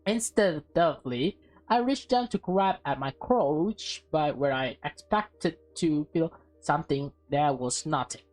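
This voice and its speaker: A woman with a fairly low voice reads a visual novel aloud over prominent music. Her delivery is expressive, and she slips between narrating and offhand casual comments as host of the playthrough. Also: measured pace, rough recording.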